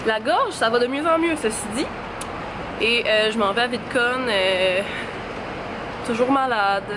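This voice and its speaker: A young woman from Quebec with a Québécois accent, vlogging excitedly at a convention with a stuffy cold, chatty and full of fillers. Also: fairly high pitch, unhurried, noisy background. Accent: Québécois French. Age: young adult. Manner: bubbly, chatty, lots of fillers and English words. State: congested with a cold. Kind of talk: excited travel vlog. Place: busy convention halls and outdoors. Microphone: handheld camera at arm's length. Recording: loud crowd and music behind.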